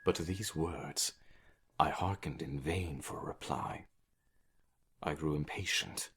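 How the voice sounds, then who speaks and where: A man giving a theatrical, emphatic literary narration of a Gothic horror story, with deliberate pauses. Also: very deep voice, a bit bright, slight background noise. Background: music.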